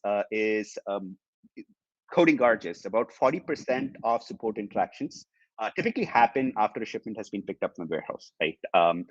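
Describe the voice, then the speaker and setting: Man, native tamil speaker, webinar presenter, fairly low voice, dry dull lo-fi sound. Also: no room sound. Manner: many fillers, hesitant, explanatory. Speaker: webinar speaker, company executive.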